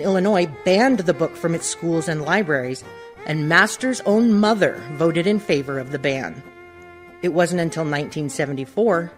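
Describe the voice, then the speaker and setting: Casting: female radio announcer, slightly low pitch, scripted closing narration, fiddle music underneath.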